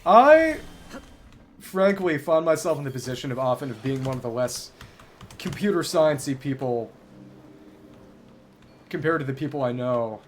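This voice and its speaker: A man's voice: mid-range voice, slight background noise. A man livestreaming a game, thinking aloud in bookish wording as he chats casually with viewers and wanders between topics. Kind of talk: casual gameplay commentary, chatting with viewers.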